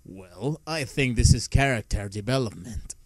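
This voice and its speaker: A man voicing an aloof, sardonic adult ninja in a deadpan way for a parody cartoon. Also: medium pitch, moderate pace, no room sound, natural voice. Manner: deadpan, dry. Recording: music loud behind the voice.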